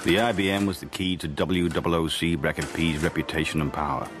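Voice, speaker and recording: An actor playing a dry, insubordinate British spy, narrating a radio drama in a deadpan, wry manner. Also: male voice, a bass voice, fairly quick, music in the background. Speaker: first-person narrator.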